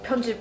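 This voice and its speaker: Young woman, Korean first language, chatty guest, lots of "like", echoey room with clear music underneath. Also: very high-pitched. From South Korea. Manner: chatty, fills speech with "like", laughs often. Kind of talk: casual chat over food.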